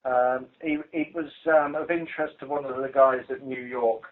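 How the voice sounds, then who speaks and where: A man's voice: medium pitch, steady tone, moderate pace. British guy on a tinny phone line, informal work briefing, dry and blunt, kinda hesitant. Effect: telephone line. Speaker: police cybercrime investigator. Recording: muffled phone conference call recording.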